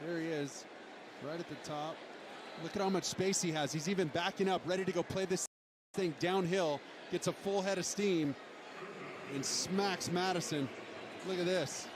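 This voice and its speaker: Male color analyst, fairly high voice, emphatic explainer, clear stadium noise behind him. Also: fairly even intonation, normal speed, long pauses. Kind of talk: live broadcast sports analysis. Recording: TV game broadcast with loud stadium noise.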